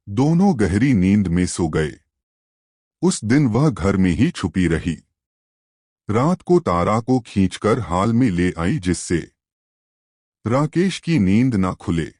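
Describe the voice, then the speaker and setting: A man's voice: low-pitched, darkish tone, no room sound, clean studio sound. A man narrating a scripted suspense story as a storyteller.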